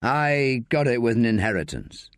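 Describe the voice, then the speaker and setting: A man's voice: mid-range voice, big pitch swings, moderate pace, dry recording, studio quality, close to an everyday voice. A man voicing a guarded, wry male detective in a narrated story.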